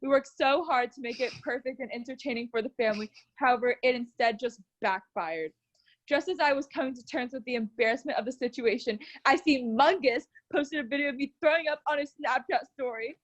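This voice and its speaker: A woman narrates a scripted story out loud. Her voice sits fairly high, and she leans into the drama with an earnest delivery. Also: a little fast, muffled recording. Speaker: storyteller reading a bedtime story aloud. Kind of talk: scripted narration read aloud.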